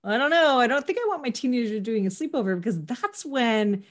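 A woman's voice: a little high, expressive, brisk. Woman interviewing in a casual way. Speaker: podcast host.

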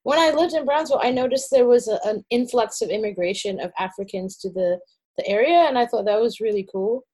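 A woman from New Zealand, a podcast guest, chatting in an animated, storytelling way. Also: fairly high pitch, a little fast. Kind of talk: casual conversational interview.

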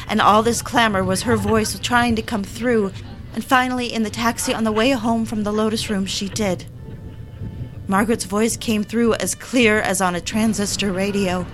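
A woman's voice: medium pitch, lightly acted. A woman playing a troubled woman who narrates her slide into madness in a confiding, reflective way. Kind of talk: scripted first-person audio drama narration. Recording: clear background noise, vehicle sounds.

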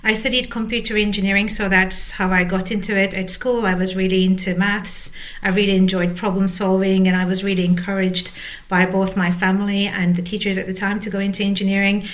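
A woman's voice: medium pitch, little pitch movement, a little fast. A woman in her forties from Turkey, speaking as an executive guest in a conversational interview. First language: Turkish. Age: 40s. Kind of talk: conversational interview about career.

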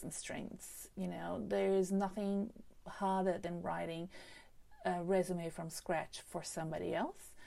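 A woman's voice: lowish voice, gravelly, a little mellow. A woman who speaks English as a second language with an accent, hosting a solo podcast about careers in a chatty way full of fillers and restarts. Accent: non-native English. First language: not English. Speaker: career coach podcast host. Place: home setup with a desk microphone.

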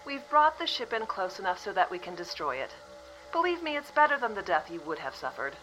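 A composed official from the future, calmly delivering terrible news, played by a woman. Her voice is bright and lively in pitch. She stays matter-of-fact and politely apologetic, with a faint music bed behind. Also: a little fast, poor audio. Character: calm female official from the future. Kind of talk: audio drama acting.

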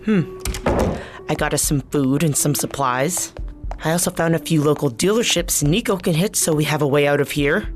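A woman's voice: low voice, moderate pace, lightly acted. A woman playing an efficient, dryly superior teammate in a fiction podcast, with a businesslike manner. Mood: dry, smug. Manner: dry, businesslike. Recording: music underneath.

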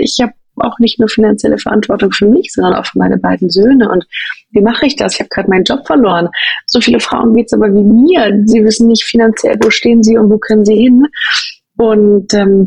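A woman's voice: medium pitch, creaky, darkish tone, husky, no echo, rough recording. A woman in her thirties from Berlin answering conversationally as an interview guest, dropping in English phrases. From Berlin, Germany. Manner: drops English phrases into speech. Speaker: podcast guest, financial education founder.

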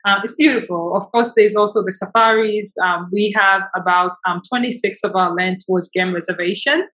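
A woman's voice: medium pitch, fairly bright tone, rough recording. Enthusiastic woman from Tanzania, interview guest. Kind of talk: conversational interview answers.

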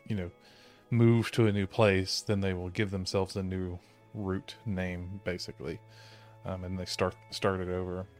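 A man playing a tabletop game, casually explaining things in a halting way as he searches for words. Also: deep voice, level tone, a little mellow, faint music behind. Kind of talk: casual chat, explaining character lore.

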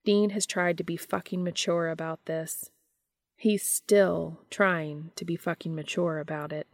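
A woman's voice: lowish voice, no echo, studio quality. A woman hosting a podcast, reading a story aloud in scripted audiobook-style narration. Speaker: podcast host reading fan fiction aloud.

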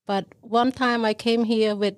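Woman from Thailand speaking halting English. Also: a little high, steady tone, dry recording. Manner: halting, non-native English phrasing. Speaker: restaurant owner, guest. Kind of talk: interview answers. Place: podcast studio inside a shopping mall.

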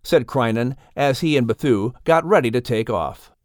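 A man's voice: mid-range voice, moderate pace, no room sound, clean studio sound. A man narrating an audiobook in a storytelling manner. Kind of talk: scripted narration.

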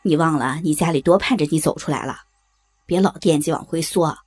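A man's voice: a little high, fairly quick, a little mellow, faint music behind, lightly acted. An actor playing a coarse, leering rural man with a Northeastern Chinese accent, blunt and pressing, in an audio drama. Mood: cajoling, firm. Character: coarse, leering rural brother-in-law, domineering and cajoling.

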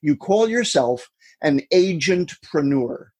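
A man's voice: a little high, animated, slow pace, a little mellow, breathy edge. A man hosting an interview, asking long encouraging questions that prompt his guest to tell his story. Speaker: interview host.

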